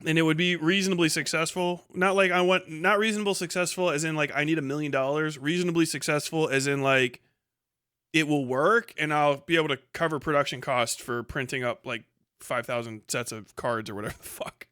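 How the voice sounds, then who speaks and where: A man's voice: fairly high pitch, fairly even intonation, a little fast, sharp tone, clear, resonant tone, no echo, clean studio sound. A man hosting a casual livestream, reading viewer comments and riffing in a profane, sardonic way. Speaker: livestream host.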